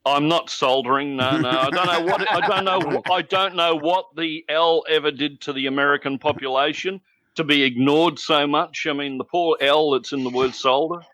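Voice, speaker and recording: A man's voice: fairly high pitch, fairly even intonation, moderate pace, sharp tone, dry recording, rough recording. A man from South Africa with an Australian accent, chatting on a podcast in a dry, joking way. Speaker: podcast guest. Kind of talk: casual chat.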